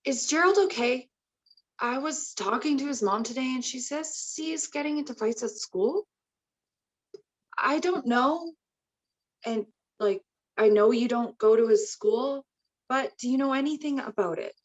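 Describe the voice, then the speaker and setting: A woman's voice: high voice, measured pace. A woman playing a concerned mother in an amateur drama table read. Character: Joyce, concerned mother of a boy.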